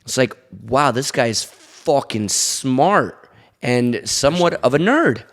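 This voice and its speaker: A man's voice: medium pitch, lively intonation, slow. A man co-hosting a podcast, speaking bluntly and casually with offhand swearing. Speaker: podcast co-host.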